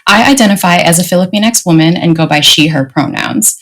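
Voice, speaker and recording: A young woman from the Chicago area co-hosting a podcast, talking in a chatty, relaxed way with light fillers. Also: lowish voice, brisk. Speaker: podcast co-host. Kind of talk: casual podcast chat.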